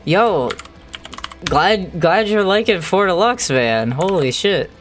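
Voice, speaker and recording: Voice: medium pitch, expressive, takes it slowly, soft background music. A streamer talking casually while working at a computer, often muttering thoughts aloud as if to themselves. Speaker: live streamer modding a video game.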